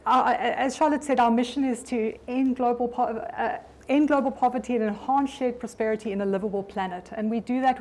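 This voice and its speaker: A woman's voice: fairly high pitch, darkish tone. South African woman giving a conference talk. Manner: conversational, with frequent ums and restarts. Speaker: conference speaker. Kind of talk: conference presentation. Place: conference room.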